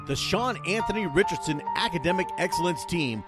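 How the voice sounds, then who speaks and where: A man's voice: high voice, expressive, brisk. A man reading a scripted radio announcement as an announcer. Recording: produced spot over loud music bed. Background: loud music.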